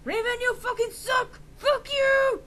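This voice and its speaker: A woman's voice: very high voice, steady tone, measured pace, a bit bright, pitched above the performer's own voice. A woman voicing a melodramatic, easily outraged teenage goth girl in a dramatic story reading, gushing and spelling out slang initials. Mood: furious. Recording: noisy amateur home recording.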